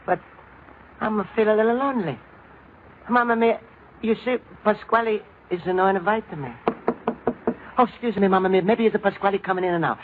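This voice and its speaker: An actor playing a kindly, lonely Italian immigrant in a radio comedy, speaking earnest, bewildered broken English with an Italian accent. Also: male voice, high-pitched, normal speed, long pauses, close to an everyday voice. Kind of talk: old-time radio comedy acting. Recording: noisy, tinny old radio recording.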